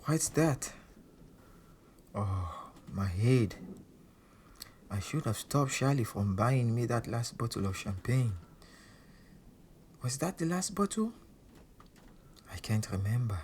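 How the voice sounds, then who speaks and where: An actor playing a proud, volatile Senegalese champion boxer, wounded underneath, confiding in an audio drama. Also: male voice, medium pitch, expressive, slow, long pauses, darkish tone, slight background noise. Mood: groggy, dismayed. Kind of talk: audio drama acting with confiding first-person narration.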